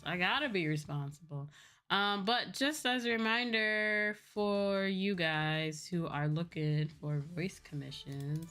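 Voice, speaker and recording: A woman's voice: fairly low pitch, a bit bright. Woman chatting casually, faint music behind. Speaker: livestreamer, VTuber. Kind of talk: casual livestream chat.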